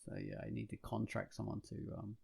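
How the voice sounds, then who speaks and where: A man chatting casually as a podcast host, rambling and halting as he goes, growing animated when enthused. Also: on the low side, vocal fry, breathy edge. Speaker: podcast host and comic artist.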